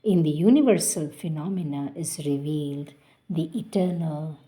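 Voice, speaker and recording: A woman giving a slow, reverent reading of a spiritual text, her phrases trailing off softly. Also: low-pitched, very noisy. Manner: measured, reverent, trailing softly at line ends. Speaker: devotional reader.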